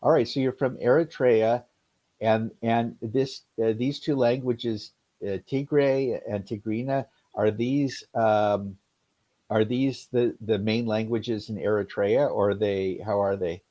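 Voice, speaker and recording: A man's voice: lowish voice, steady tone, unhurried, warm tone, no echo. A man from Los Angeles with an American accent, tutoring English in casual conversation, asking long, loosely stacked questions. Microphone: video call.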